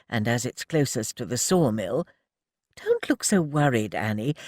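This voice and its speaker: A man's voice: a little high, no room sound, clean studio sound. A man voicing a refined middle-aged lady who speaks with prim, patronising politeness. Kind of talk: audiobook character voice.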